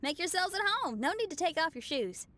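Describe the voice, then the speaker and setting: High lively young game heroine, frank type, easily startled, swings up and down. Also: female voice, fairly quick, faint music behind, full character voice. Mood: relaxed, reflective. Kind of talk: video game voice acting.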